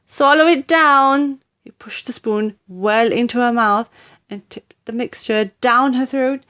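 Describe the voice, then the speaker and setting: A woman reading a children's story aloud in a deliberate, dramatic and expressive narrating style. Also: on the high side. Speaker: audiobook reader.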